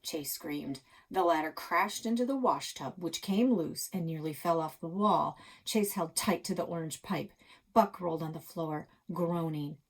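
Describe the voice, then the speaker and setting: A woman reading a children's story aloud as a dramatic narrator, expressive and suspenseful. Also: low-pitched, measured pace, husky.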